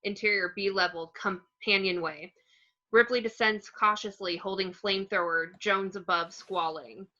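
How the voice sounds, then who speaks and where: A woman's voice: medium pitch, fairly even intonation, moderate pace, sharp tone. A woman narrating stage directions from a screenplay in short clipped phrases, on a lo-fi recording. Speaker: narrator reading action descriptions.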